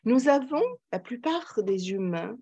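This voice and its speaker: An older woman, answering conversationally in an interview, emphatic and reflective, pausing as she searches for words. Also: on the high side, expressive, dark tone, husky, dull audio. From Paris, France. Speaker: psychoanalyst and author, podcast guest.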